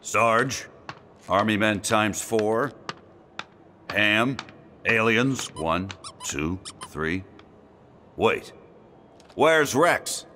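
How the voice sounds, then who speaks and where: A man's voice: lowish voice, lively intonation, extremely slow, pauses often, bright, forceful tone, slight background noise, full character voice. A man playing a heroic toy space ranger in a video game, stern and commanding with firm, no-nonsense statements. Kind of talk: animated video game dialogue.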